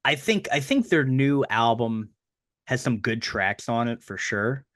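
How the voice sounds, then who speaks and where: A man's voice: mid-range voice, expressive, measured pace, a bit bright, dry recording, clean studio sound. A young man hosting a podcast, asking questions in a hesitant way with many fillers and frequent laughs. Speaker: podcast host. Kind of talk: casual interview.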